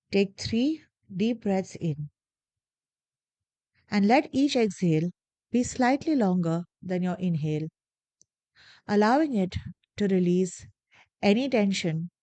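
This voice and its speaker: A woman guiding a body scan meditation, giving slow, soft, calm instructions with long pauses. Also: on the low side, no room sound. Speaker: meditation guide. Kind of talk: guided meditation.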